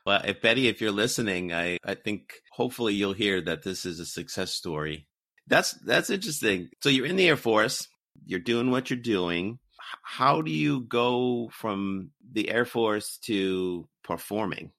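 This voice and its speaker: A man hosting an interview who asks measured, attentive questions. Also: lowish voice, animated, creaky, bright, forceful tone, no room sound. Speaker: podcast host.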